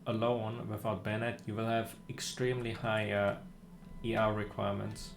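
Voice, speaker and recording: A man livestreaming a game, chatting casually about strategy in a hesitant, halting way as he thinks aloud. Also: fairly low pitch, flat delivery, vocal fry, slight background noise.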